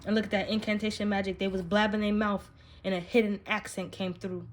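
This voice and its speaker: A woman's voice: mid-range voice, flat delivery, brisk, a little hiss or hum. A woman giving a casual spiritual card reading, speaking directly to the listener in an emphatic, slangy, profane way. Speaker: tarot card reader, channel host.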